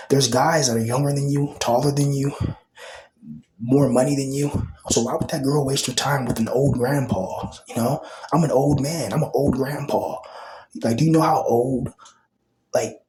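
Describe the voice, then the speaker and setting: A man's voice: mid-range voice, darkish tone, a little hiss or hum, rough recording. A man in his thirties rambling casually in a vlog, full of slang, fillers and repeated phrases, sometimes dropping to a whisper. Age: 30s. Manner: loose slang-filled talk with many fillers and repetitions. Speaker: vlogger.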